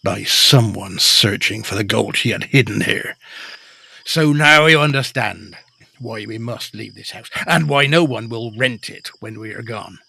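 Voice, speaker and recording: An actor playing a nervous, evasive husband in a period ghost story, hesitant, fussy and defensive, often stammering. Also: male voice, lowish voice, unhurried, creaky, pushed and bright, slightly rough, dry recording, heavily acted. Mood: nervous, grave. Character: nervous, evasive husband, anxious to flee haunted house. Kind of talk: period ghost story audio drama acting.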